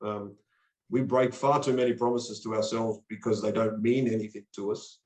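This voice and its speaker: A man's voice: fairly low pitch, steady tone, normal speed, darkish tone. Earnest motivational man in an echoey room. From Australia. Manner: earnest, motivational, repeats words when starting phrases. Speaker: podcast guest, coach. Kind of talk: conversational interview.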